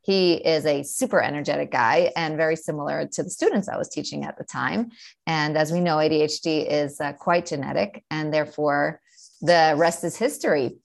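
A woman's voice: sits low, normal speed, gravelly. A woman in her forties with a New York accent, a guest explaining her work with confident, list-like answers in a podcast interview. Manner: confident, explanatory, speaks in long lists. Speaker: guest, educator and author.

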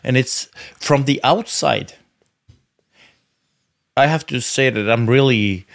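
A man's voice: mid-range voice, slow, gravelly, no echo, studio quality. A man interviewing in a conversational way, earnest and hesitant, repeating words as he forms his questions. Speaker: podcast interviewer.

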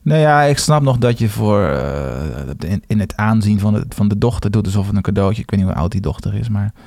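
Low-voiced, measured male podcast co-host. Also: lively intonation, vocal fry, a little mellow, dry recording, clean studio sound. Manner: measured, agreeable, short affirmations, some hesitations. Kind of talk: casual podcast chat.

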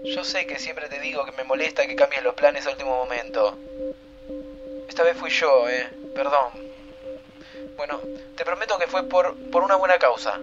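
An actor with an Argentine accent playing a friend leaving a nostalgic, apologetic recorded message. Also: male voice, a little high, normal speed, music in the background, lightly acted. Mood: wistful. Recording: dull recorded message with faint noise.

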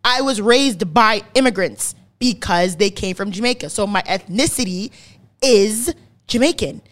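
A woman's voice: medium pitch, unhurried. She is a woman in her twenties from Canada with a mid-range voice. She talks like an opinionated podcast host explaining something to a friend. Her delivery is emphatic, leaning on repeated words and free with swearing. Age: 20s. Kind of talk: casual chat, opinionated explaining.

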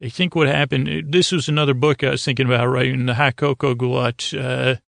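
A man with a creaky voice giving a rambling, halting bedtime monologue, often stammering and circling back on his words. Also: medium pitch, fairly even intonation, a bit bright, no echo. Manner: meandering, halting, stammering repeats. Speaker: podcast host.

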